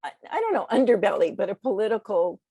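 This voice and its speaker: A woman poet talks and reads as a guest in a thoughtful, slightly hesitant way. Her voice is of average pitch with plenty of fry. The sound is close and dry, without room echo. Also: very animated, fast, warm tone. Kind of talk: reflective literary talk and reading.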